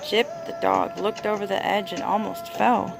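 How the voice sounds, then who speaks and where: A woman's voice: medium pitch, steady tone, normal speed, creaky, over music. A woman reading a children's storybook aloud to a small child, as a parent would.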